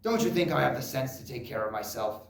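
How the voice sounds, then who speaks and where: A man playing a proud, stubborn father of nearly eighty in a solo stage drama, gruff and rambling as he brushes off concern. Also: mid-range voice, animated, fast talker. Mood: stubborn, dismissive. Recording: roomy, echoing live recording.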